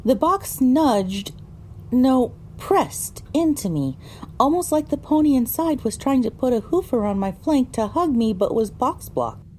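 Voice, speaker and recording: A woman playing a pony who narrates her own ordeal in a measured, dramatic audio drama style. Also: high voice, sing-song, a little mellow, a little hiss or hum. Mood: grave, reflective.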